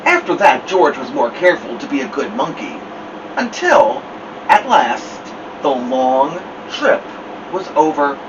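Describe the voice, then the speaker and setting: A man reading a children's picture book aloud as a storytime host, theatrically, with drawn-out words and dramatic pauses. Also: a little high, sing-song. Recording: distant mic, dull sound, noisy background hum.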